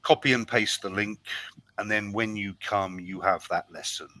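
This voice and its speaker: A man's voice: on the low side, steady tone, normal speed, bright, forceful tone, no echo. A British man tutoring English over a video call, friendly and chatty, explaining things step by step. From United Kingdom. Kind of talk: online tutoring chat.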